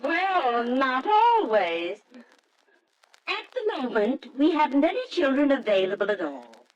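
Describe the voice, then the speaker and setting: Formal, expressive adoption agency official. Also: female voice, high voice, muffled recording, a crowd in the background. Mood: politely firm. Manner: businesslike, measured, politely firm. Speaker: actress. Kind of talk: 1940s radio drama acting.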